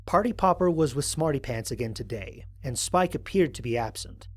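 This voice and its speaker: A man playing an observant, analytical space explorer, narrating formal mission logs in a measured, precise way for an audio drama. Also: medium pitch, expressive, lightly acted. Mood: intrigued, measured. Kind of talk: scripted first-person audio drama narration.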